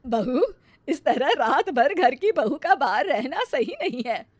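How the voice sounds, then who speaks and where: A woman's voice: high voice, expressive, measured pace, dry recording. A woman voicing a stern, disapproving older mother-in-law in a cartoon story, scolding and reproachful. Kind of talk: cartoon moral-story dialogue.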